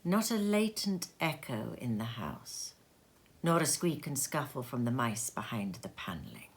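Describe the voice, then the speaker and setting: A woman narrating a classic story aloud in an expressive, dramatic storybook style with deliberate phrasing. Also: a bass voice. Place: sitting room at home.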